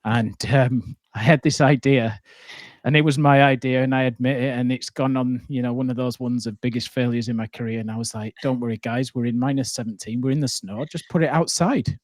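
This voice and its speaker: A man's voice: mid-range voice, steady tone, a little fast, darkish tone, no room sound, clean studio sound. A man who is a hospitality entrepreneur guest, telling casual, rambling anecdotes and swearing freely.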